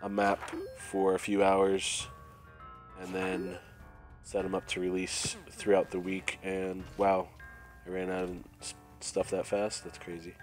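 Low, raspy-nosed gamer with a cold. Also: male voice, a little slow. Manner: relaxed, thinking aloud while playing. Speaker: gameplay commentator. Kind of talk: casual let's-play commentary. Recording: faint game music behind.

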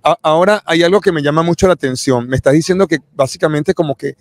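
A man's voice: a little high, quick pace, no echo. A middle-aged man interviewing in a chatty, effusive way full of exclamations. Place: convention event booth, indoors. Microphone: close boom-arm microphone with headset.

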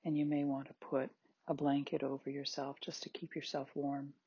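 Very husky, breathy meditation guide, sex-ambiguous voice. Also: mid-range voice, flat delivery, no echo, muffled recording. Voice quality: very husky, breathy, at times near-whispered. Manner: gentle, unhurried, with spaced short phrases. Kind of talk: guided body scan meditation, soothing instruction.

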